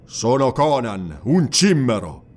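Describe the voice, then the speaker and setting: A man's voice: mid-range voice, big pitch swings, slow pace, darkish tone. A man voicing a brooding, proud barbarian warrior in a fantasy audiobook, speaking in a terse, stern, defiant way. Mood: proud defiance. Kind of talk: dramatized fantasy audiobook dialogue.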